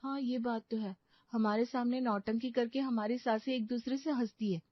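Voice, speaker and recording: A woman's voice: on the high side, steady tone, moderate pace, dry recording, highs cut off, voice pushed up, close to an everyday voice. A woman voicing a young daughter-in-law in a cartoon story, polite and crafty with some exasperation. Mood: plotting. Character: determined, coaxing young Indian daughter-in-law. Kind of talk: cartoon moral story acting.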